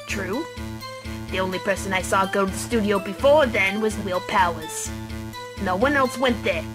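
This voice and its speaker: A woman's voice: mid-range voice, moderate pace, natural voice, poor audio. A woman playing a feisty, crabby elderly security guard in an amateur fan dub of a game, talking in a folksy, scolding way. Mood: matter-of-fact. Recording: music playing behind the voice.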